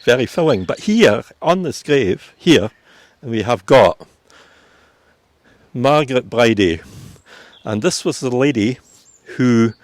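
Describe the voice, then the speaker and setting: Low-voiced Scottish man guiding a tour. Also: no room sound. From Scotland. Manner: informative, unhurried, with frequent fillers. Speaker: tour guide. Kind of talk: guided walking tour commentary. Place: outdoors in a village churchyard.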